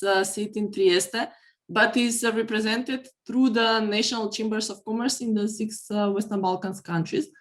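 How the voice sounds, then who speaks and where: A woman giving formal interview answers as an organization's representative, measured and pausing within phrases. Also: medium pitch. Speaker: guest representing a business chamber forum.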